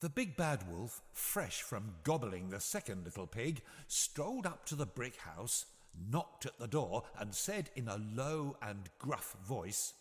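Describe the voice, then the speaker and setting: A man narrating a scripted bedtime story for children in an expressive, measured storytelling manner. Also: medium pitch, long reverb tail.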